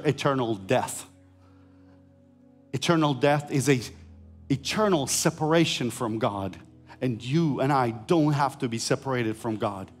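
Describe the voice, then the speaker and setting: A middle-aged man from Ukraine with a Ukrainian accent, preaching a sermon on a large church stage, swinging between rising emphatic lines and quiet lowered ones. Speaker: pastor.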